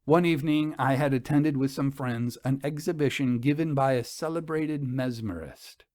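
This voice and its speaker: A man narrating a first-person story in a measured, formal, old-fashioned manner. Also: mid-range voice, darkish tone, dry recording, clean studio sound.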